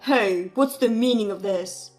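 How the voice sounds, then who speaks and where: A woman voicing a rude, mocking adult brother who talks loudly and scornfully, with faint music behind. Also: medium pitch, steady tone, a little slow, natural voice, poor audio. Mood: angry. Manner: sneering, taunting.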